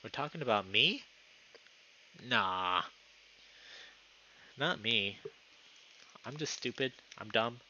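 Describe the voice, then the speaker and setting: A man's voice: mid-range voice, expressive, slow, pauses often, pushed and bright, lo-fi sound, slight background noise. A young man live streaming, moving between casual chat and reading story narration aloud.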